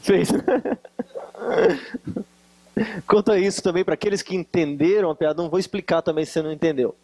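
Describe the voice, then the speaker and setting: High-voiced joking man from Minas Gerais. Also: moderate pace, a little mellow. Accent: Brazilian Portuguese, Minas Gerais. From Varginha, Brazil. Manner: joking, teasing the audience. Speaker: guest preacher. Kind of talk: conference sermon with jokes. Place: conference hall with live audience.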